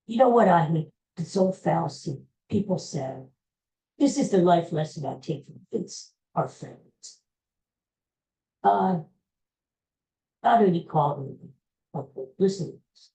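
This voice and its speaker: A woman talking slowly through a solo podcast, her voice mellow and a little low. She rambles with folksy advice, restarting her sentences and speaking straight to her listeners. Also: expressive, poor audio. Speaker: podcast host. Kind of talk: casual solo podcast monologue.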